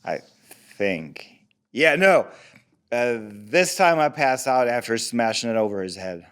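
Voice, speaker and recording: Man acting slow, swooping, boozy deadbeat dad. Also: medium pitch, animated, a bit bright, clear, resonant tone. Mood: hazy, evasive. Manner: evasive, rambling, profane, sneering. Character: defensive, boozy, abusive deadbeat father under interrogation. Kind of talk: audio drama table read.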